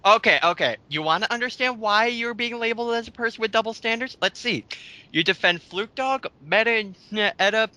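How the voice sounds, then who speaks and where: A man's voice: high voice, brisk, pushed and bright, no room sound. A blunt, sarcastic, foul-mouthed man giving mocking commentary on an online post with others.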